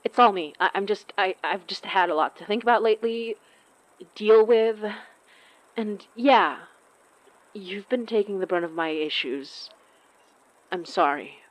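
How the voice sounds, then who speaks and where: A woman's voice: medium pitch, measured pace, pauses often, dry recording, close to an everyday voice, poor audio. A woman playing an awkward, apologetic young woman in a naturalistic audio drama, speaking hesitantly with stammers. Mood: guilty, apologetic. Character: awkward, apologetic young woman, torn about a close friend.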